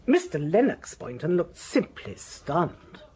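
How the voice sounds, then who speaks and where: An actress playing an imperious, fussy titled lady, brisk and precise in a radio drama. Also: fairly low pitch, big pitch swings, warm tone, slightly rough, full character voice. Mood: brisk, disapproving.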